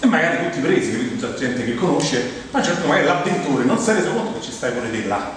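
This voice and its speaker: A man's voice: medium pitch, big pitch swings, fast. A man with a Roman accent performing a humorous theatrical monologue in a colloquial, anecdotal way, acting out other people's remarks. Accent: Roman Italian. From Rome, Italy. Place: theatre stage, recorded from afar. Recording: very echoey hall, distant mic, faint noise.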